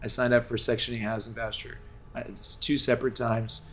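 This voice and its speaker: A man from Los Angeles talks straight to his stream viewers at home, his voice staying level and even in pitch from line to line. He rambles earnestly, stopping and restarting his sentences and leaning on fillers, while a faint hum of room ambience sits underneath. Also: fairly quick. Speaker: game streamer.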